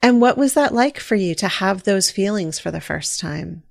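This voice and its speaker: Gentle woman interviewer asking questions. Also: medium pitch, animated, creaky. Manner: gentle, measured questioning with short affirmations.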